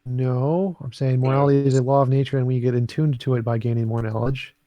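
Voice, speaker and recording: A man's voice: medium pitch, fairly even intonation, dry recording, lo-fi sound. A man debating his moral theory in an online voice chat, blunt and impatient, talking over others. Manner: blunt, impatient, rapid-fire.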